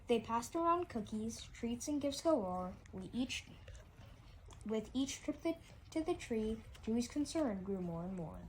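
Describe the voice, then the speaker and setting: A woman's voice: on the high side, big pitch swings, measured pace, slight background noise, rough recording. A woman reading a rhyming children's story aloud, often stumbling and repeating words as she goes.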